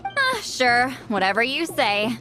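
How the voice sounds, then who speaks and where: A woman's voice: a little high, wildly swooping pitch, measured pace, music in the background. A woman voicing a brash, proud, youthful-sounding female shaman in a video game, brusque and exasperated. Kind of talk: English video game voice acting.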